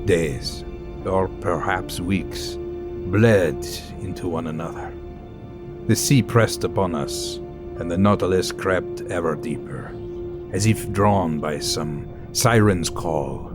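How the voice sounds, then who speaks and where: Deep, measured scholarly narrator. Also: male voice, loud music behind the voice. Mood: hushed wonder. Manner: measured, contemplative, formal. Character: thoughtful, awed male scholar narrating his sea voyage. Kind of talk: literary first-person narration in audio drama.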